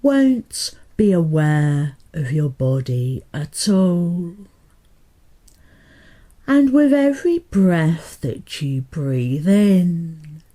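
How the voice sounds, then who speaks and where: Woman doing guided hypnotherapy, low mellow voice, soothing n deliberate, lots of pauses. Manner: soothing, slow, deliberate pauses between short phrases. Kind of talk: guided meditation hypnotherapy narration.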